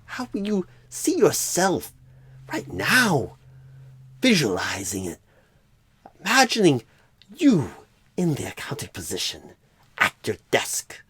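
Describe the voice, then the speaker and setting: A man voicing a clueless, rambling, eccentric job recruiter in an ASMR roleplay, halting oddly mid-phrase in a breathy, husky voice that often drops to a whisper. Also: fairly high pitch, huge pitch swings, fairly bright tone, slight background noise, exaggerated voice. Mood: rambling, musing.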